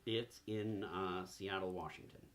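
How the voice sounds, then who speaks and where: A man acting as game master, narrating a tabletop game session in a halting way, searching for words. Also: low voice, steady tone.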